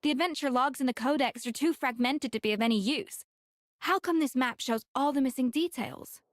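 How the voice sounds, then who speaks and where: A woman's voice: high-pitched, brisk, no room sound, natural voice. A woman playing a proud, exacting young chef in an anime game, self-assured and impatient. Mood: puzzled, realizing.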